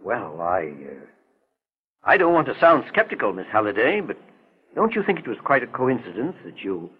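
An actor playing a calm, politely skeptical gentleman investigator, asking measured questions in a 1940s radio mystery. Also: mid-range voice, slightly rough. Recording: muffled, tinny 1940s radio recording.

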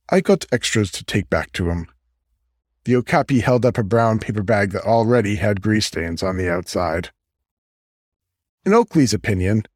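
A man narrates a piece of fiction from a script. His voice sits on the low side. The recording is very dry, with no room around it. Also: darkish tone, dry recording. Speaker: audiobook narrator.

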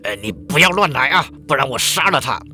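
A man's voice: a little high, animated, a little fast, bright, forceful tone, soft background music. A man voicing a male hitman who threatens and mocks his hostage. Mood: menacing. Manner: threatening, mocking. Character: menacing male hitman posing as a waiter.

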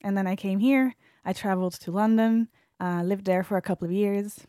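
Italian woman, mixed accent, laughs easily, halting, dry mic, mid pitch. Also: dry recording. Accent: mixed Italian, American and Australian. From northern Italy. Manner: warm, giggly, a little halting. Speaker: podcast guest, architect. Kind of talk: casual interview.

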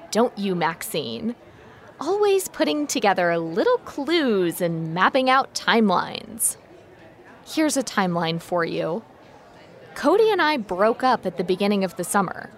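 A woman playing a proud, confrontational teenage schoolgirl in a naturalistic audio drama, cutting and condescending. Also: medium pitch. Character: snippy, proud teenage girl classmate, coolly confrontational.

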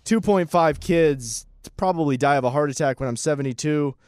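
A man's voice: a little high, moderate pace, darkish tone, clean tone, no echo, studio quality. A man from the northern United States co-hosting a podcast with brash, wisecracking interjections and casual comic banter. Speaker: podcast co-host.

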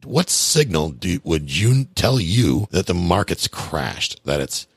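A male podcast host chatting casually about investing, rambling and self-deprecating as he thinks out loud. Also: low-pitched, big pitch swings, takes it slowly, breathy edge, no room sound, clean studio sound.

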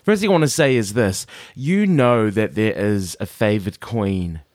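A man with an Auckland accent co-hosting a podcast, chatting casually about a TV show and slipping into high mock voices when quoting. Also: lively intonation, moderate pace, darkish tone, no echo, clean studio sound. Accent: New Zealand, Auckland. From New Zealand. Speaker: podcast co-host. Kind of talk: casual chat reviewing a TV show.

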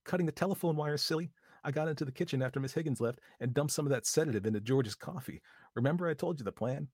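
A man's voice: a little high, quick pace, darkish tone, breathy edge, dry recording, clean studio sound, pitched above the performer's own voice. A man reading aloud voices a bossy, scornful young woman who snaps and talks vulgar when angry. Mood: brisk.

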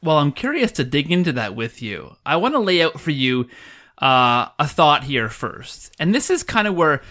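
A man's voice: fairly high pitch, sing-song, moderate pace, bright, forceful tone. A man hosting a podcast in a conversational, enthusiastic way, introducing and interviewing. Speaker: podcast host.

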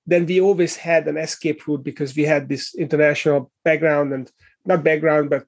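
A man's voice: a little high, steady tone, normal speed. A middle-aged man with a Hungarian accent, a guest answering interview questions in a thoughtful way with many fillers and restarts. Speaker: guest, leadership coach and author.

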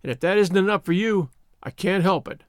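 A man's voice: sits high, fairly quick, no echo, studio quality, close to an everyday voice. A man voicing a strict, exasperated schoolmaster in a story, brusque with his scouts. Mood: firm.

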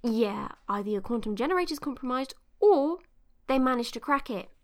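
Supportive tech friend in an audio drama, woman, kinda high voice, casual and wry, likes to tease. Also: animated, moderate pace. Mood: focused, wry. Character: supportive, teasing female tech expert, old friend. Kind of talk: naturalistic audio drama acting.

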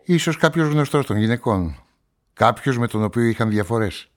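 An actor playing a guarded amateur sleuth, telling a crime story in measured, reflective radio-play narration and dialogue. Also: male voice, mid-range voice, lively intonation, lightly acted.

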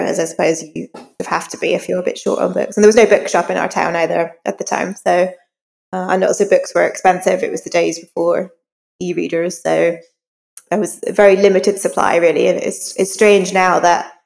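A woman's voice: on the low side, creaky, a little mellow, big-room sound. A woman in her forties with a Northern Irish accent, chatting casually as an interview guest, slightly congested from a cold. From rural Northern Ireland. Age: 40s. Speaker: crime novelist, interview guest.

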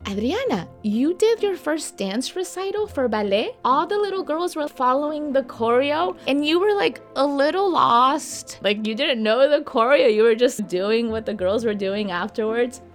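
A woman raised in Venezuela and Miami, a podcast guest telling stories in a lively, animated way. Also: high voice, moderate pace, few pauses, soft background music. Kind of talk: interview.

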